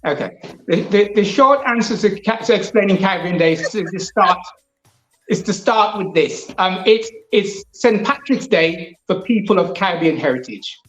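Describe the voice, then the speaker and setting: A man's voice: high-pitched, lively intonation, a bit bright, echoey room, faint music behind, poor audio. A man raised partly in Jamaica, a guest on an interview show, explaining at length and stumbling over the first words of his answers. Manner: halting, repeats words as he starts answers.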